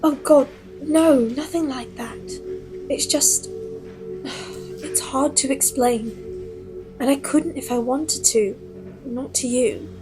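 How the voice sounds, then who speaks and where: A woman's voice: high voice, slow, long pauses, music in the background, rough recording. A woman playing a female English professor who starts hearing strange voices, talking in a guarded, hesitant way. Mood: uneasy. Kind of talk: audio drama acting.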